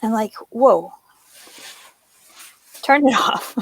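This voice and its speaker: A woman in casual conversation on a video call, talking in a chatty, animated way. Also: on the high side, very slow, husky, rough recording. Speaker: co-host.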